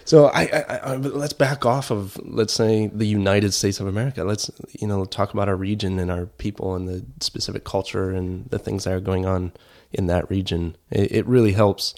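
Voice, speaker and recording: A man's voice: on the low side, steady tone, moderate pace, few pauses, darkish tone. A man who grew up in Kenya, speaking as an interview guest in an earnest, explanatory, conversational way, repeating points for emphasis.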